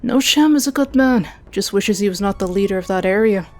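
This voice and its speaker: A woman's voice: medium pitch, a little fast, darkish tone, soft background music, natural voice. A woman playing a blunt, opinionated female first sergeant at a war council in a fantasy audiobook. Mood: matter-of-fact. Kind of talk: audiobook character acting.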